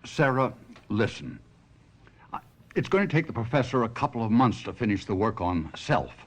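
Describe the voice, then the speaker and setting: A man's voice: lowish voice, sing-song, moderate pace. An actor playing a devoted but restless husband, a ventriloquist telling his own story in a 1970s radio drama. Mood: earnest, reassuring. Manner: dramatic, reflective first-person storytelling. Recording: muffled radio broadcast, music behind.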